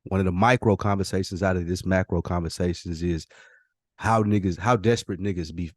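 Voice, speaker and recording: A man's voice: sits low, fairly even intonation, a little fast, no room sound, studio quality. A man co-hosting a podcast, chatting casually in a reflective, slangy and profane way. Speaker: podcast co-host. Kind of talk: casual chat.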